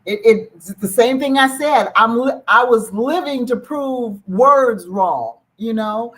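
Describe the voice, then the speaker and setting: A woman host with a husky voice speaks in an interview. She responds to her guest with empathy, affirming and reflecting back what was said with emphasis. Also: on the high side, takes it slowly, a bit bright. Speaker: show host. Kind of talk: interview conversation.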